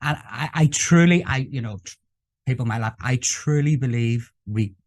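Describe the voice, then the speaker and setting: A man's voice: mid-range voice, normal speed, darkish tone, dry recording, studio quality. A man with an Irish accent, hosting a casual interview in an effusive, emphatic way. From Ireland. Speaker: interviewer, host.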